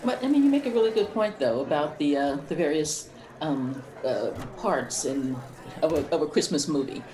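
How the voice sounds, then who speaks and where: A woman chatting casually in a group as a podcast panelist, in a halting, conversational way. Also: low-pitched, animated, warm tone. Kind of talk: casual group chat about holiday movies. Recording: echoey room, background voices.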